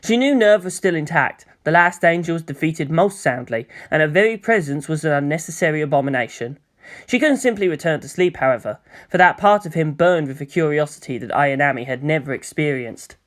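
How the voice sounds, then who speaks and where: A narrator reading fan fiction aloud, changing pitch and pace for emphasis and voicing the characters. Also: expressive, a little fast.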